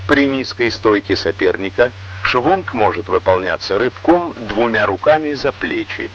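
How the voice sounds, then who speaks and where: A man reading scripted instructional narration in a formal, measured, didactic way, on an old Soviet educational film soundtrack. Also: fairly low pitch, expressive, highs cut off, slight background noise.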